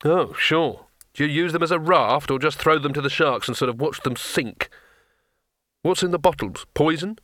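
A hapless male wizard, gloomy and self-pitying, who grumbles through every complaint in a raised, strained pitch, as a man reads him aloud. The voice is bright and sharp, recorded dry and close, in a storybook reading. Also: normal speed, dry recording, lightly acted. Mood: grumbling.

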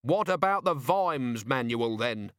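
A worn-out, exasperated watch commander grumbles and rants, as a male narrator plays him, his voice rising and dropping with lively swings. He sounds close and dry, tired and grumbling. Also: medium pitch, slow pace, clear, resonant tone, dry recording.

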